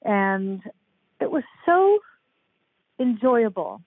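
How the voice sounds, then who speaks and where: A woman's voice: fairly high pitch, sing-song, drawn-out words, breathy edge, no room sound. Older woman on a tinny remote line. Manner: enthusiastic, laughs often. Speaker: guest author. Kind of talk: casual podcast chat. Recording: tinny phone-like line, dry.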